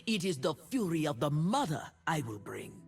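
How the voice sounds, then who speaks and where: A woman voicing a proud, forceful priestess of a sea god for a video game, in solemn, commanding pronouncements. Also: sits low, big pitch swings, moderate pace, slightly rough, soft background music, exaggerated voice. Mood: commanding, assured. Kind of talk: video game character voice lines.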